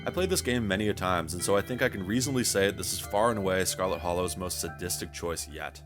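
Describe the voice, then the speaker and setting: A man's voice: low voice, fast, over music. A man reading a scripted game review in a wry, wordy, casually profane way.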